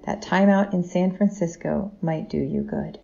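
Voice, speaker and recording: A woman's voice: fairly low pitch, moderate pace, creaky, mellow, muffled recording, faint music behind. A woman playing a caring but troubled middle-aged wife and mother in an audio drama. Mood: gently reassuring.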